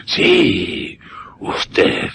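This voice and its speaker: An actor with a Castilian Spanish accent playing a tormented, vengeful undead man, groaning and gravelly in a slow radio horror style. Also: low-pitched, huge pitch swings, fairly bright tone, exaggerated voice. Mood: menacing. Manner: slow, drawn-out agonized delivery. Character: tormented undead man turned putrid living mass, vengeful. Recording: noisy radio drama track.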